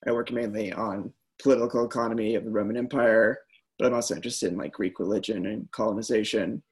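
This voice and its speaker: A man's voice: on the low side, fairly even intonation, quick pace, slightly rough. A man, a graduate student, talking in a laid-back way full of fillers over a video call. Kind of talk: casual group chat.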